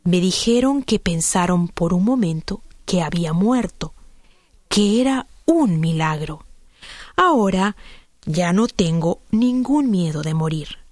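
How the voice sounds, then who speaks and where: A woman's voice: fairly low pitch, expressive, slow pace, darkish tone, no room sound, studio quality. A woman, telling a personal story in a heartfelt, emotional way. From Peru. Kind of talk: read personal testimony.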